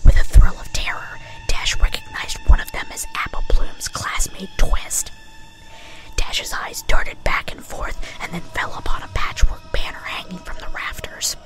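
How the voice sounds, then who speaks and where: A woman's voice: very high-pitched, huge pitch swings, a little slow, music in the background, rough recording. A woman narrating a story as a whispered ASMR reading.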